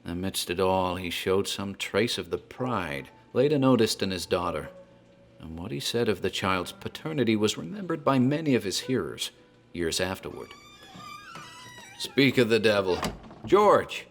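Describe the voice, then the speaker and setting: An actor playing a talkative tavern landlord who tells a grim tale in a deliberate, theatrical horror drama style. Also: male voice, lowish voice, big pitch swings, soft background music. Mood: ominous storytelling. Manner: deliberate, theatrical storyteller, literary wording. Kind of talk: dramatized horror storytelling, scripted narration.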